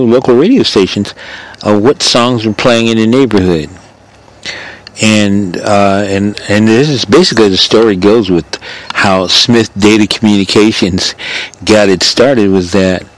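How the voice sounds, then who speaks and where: A man's voice: fairly low pitch, rough recording. An older American man hosting a radio show, telling a story casually in a rambling, conversational way. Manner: rambling, conversational, re-enacts dialogue.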